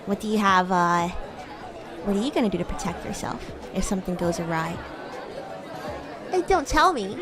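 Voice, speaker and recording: A woman's voice: mid-range voice, expressive, normal speed, long pauses, music in the background, crowd noise, voice pushed down, close to an everyday voice. A woman voicing a bratty young demon schoolgirl in a roleplay stream, teasing and stammering when flustered. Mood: smug, teasing. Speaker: VTuber streamer playing a character. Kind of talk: improvised roleplay livestream, chatting with viewers.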